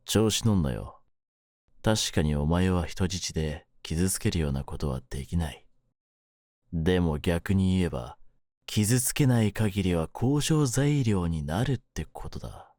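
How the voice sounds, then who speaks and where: A man's voice: lively intonation, a little slow, no echo, clean studio sound. Man acting a cool, swaggering young mafia kidnapper. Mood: quiet menace. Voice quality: low-pitched, hushed at menacing moments. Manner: rough masculine speech, smug mock politeness. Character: cool, menacing young male mafia kidnapper, teasing but softening. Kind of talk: ASMR situation voice drama, intimate one-sided roleplay.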